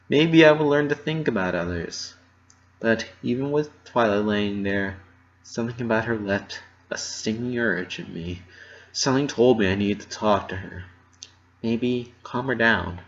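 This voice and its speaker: A man's voice: fairly low pitch, fairly bright tone. Man reading dramatically, dull muffled recording. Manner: theatrical, emotive delivery. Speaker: amateur story reader. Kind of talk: dramatic reading of first-person fan fiction.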